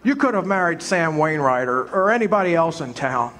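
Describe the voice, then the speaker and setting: Guy playing an earnest young family man, high voice, stumbles over words when worked up. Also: big pitch swings, brisk, a bit bright, slight background noise. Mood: dejected. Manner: earnest, emphatic, stammering when upset. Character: earnest, frustrated young small-town husband. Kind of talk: amateur stage radio drama.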